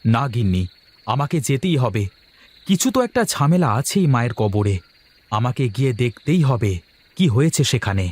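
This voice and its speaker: A man's voice: medium pitch, animated, moderate pace, a little mellow, close to an everyday voice. A man voicing a fretful, bewildered village man in a cartoon horror story, speaking urgently and full of questions. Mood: determined, worried. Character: Mahesh, agitated, bewildered village man grieving his mother.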